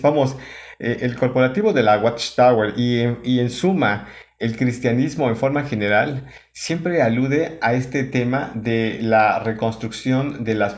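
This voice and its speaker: Halting, wordy male commentator. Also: mid-range voice. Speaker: commentator reacting to a religious broadcast. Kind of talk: spontaneous critical commentary.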